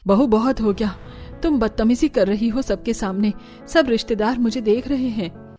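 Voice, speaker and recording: A woman's voice: on the high side, normal speed, dark tone, soft background music, lightly acted. A woman voicing a proud, bossy middle-aged mother-in-law in a Hindi cartoon drama, with a scolding, commanding manner. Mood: shocked, angry.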